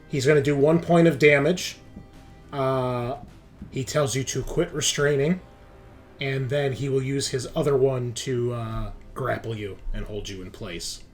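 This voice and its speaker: A man's voice: mid-range voice, measured pace. A man narrating casually as game master, improvising descriptions with informal asides. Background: background music.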